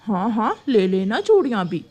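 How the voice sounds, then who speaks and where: A woman's voice: medium pitch, sing-song, normal speed, darkish tone, slight background noise, voice pushed down, lightly acted. A woman voicing a doting, cheerful father bird in a children's cartoon. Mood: cheerful, indulgent.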